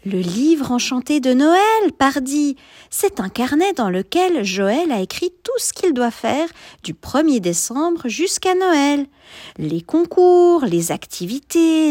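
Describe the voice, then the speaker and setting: A woman voicing Santa Claus's kindly, tired wife in a children's story, in a fussing, lively way. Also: high-pitched, no room sound, studio quality, pitched above the performer's own voice, exaggerated voice. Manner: warm, fussing, gets flustered.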